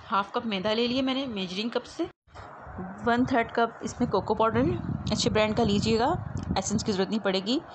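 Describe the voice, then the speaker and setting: A woman giving a casual recipe voice-over as a home cooking host. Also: on the high side. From Pakistan. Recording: clearly noisy homemade voice-over recording.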